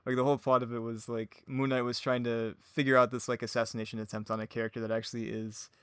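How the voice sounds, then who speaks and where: A man's voice: medium pitch, level tone, quick pace, fairly bright tone, smooth, no echo, studio quality. A man chatting casually as a podcast guest, rambling, giggly and self-deprecating. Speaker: podcast guest, audio drama creator. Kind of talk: casual chat.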